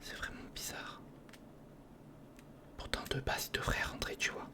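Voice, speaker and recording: A man speaks in a breathy whisper close to the microphone, murmuring casual hands-on ASMR commentary in fragments, as if thinking aloud. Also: unhurried. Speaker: ASMR video creator. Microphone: close recorder microphone.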